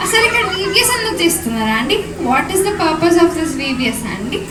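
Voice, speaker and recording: ఒక మహిళ చేసే అయోమయంలో ఉన్న అక్క బొమ్మ, చాలా ఎత్తైన గొంతు. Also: female voice, extremely high pitch, big pitch swings, fairly quick. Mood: puzzled. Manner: polite, ponderous questioning. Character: earnest, puzzled big sister puppet. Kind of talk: live puppet show. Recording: echoey, distant, noisy hall.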